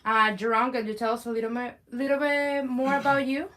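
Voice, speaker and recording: A woman's voice: a little high, flat delivery, a little fast, a bit bright. A woman co-owner hosting a live bonsai stream, chatting cheerfully with viewers. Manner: upbeat, chatty, addresses viewers.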